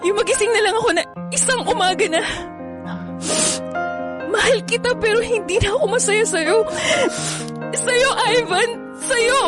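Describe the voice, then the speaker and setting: A woman's voice: extremely high pitch, normal speed. A woman with a Filipino accent playing an evasive, weary girlfriend in an online radio drama, reluctant and defensive. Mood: sad, resigned. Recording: music bed under the voice.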